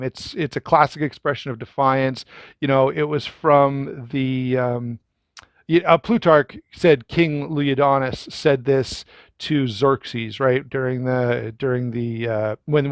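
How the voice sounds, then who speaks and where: A man's voice: on the high side, no echo. A man giving a casual first-impression review of a knife, talking straight to viewers with lots of fillers and restarts. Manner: conversational, many fillers and restarts; addresses viewers directly.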